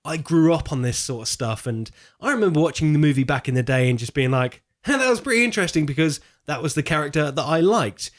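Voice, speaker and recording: A man's voice: a little high, lively intonation, fast, few pauses. A man co-hosting a film podcast, talking in an informal, slangy, conversational way. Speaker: podcast co-host. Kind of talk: casual film podcast chat.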